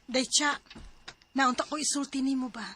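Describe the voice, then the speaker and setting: A woman's voice: high-pitched, steady tone, normal speed, pushed and bright, soft background music. An actress with a Cebuano accent playing a gossipy, hesitant young female friend in a radio drama. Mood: confiding.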